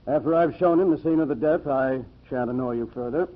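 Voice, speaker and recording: A man's voice: medium pitch, fairly quick, phone-quality sound, close to an everyday voice. A man playing a formal, self-important county sheriff in a 1940s radio drama, precise and stiff in speech. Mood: businesslike. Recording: thin, band-limited old radio sound.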